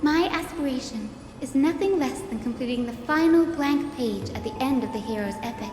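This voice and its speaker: Casting: gently insistent young game heroine, high-pitched and courteous, reverberant sound over a music bed. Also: female voice, expressive, normal speed. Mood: eager, confident. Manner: polite, earnest, gently insistent. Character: bright, earnest young female teaching assistant. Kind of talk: dramatic video game voice acting. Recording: echoey long reverb with music behind.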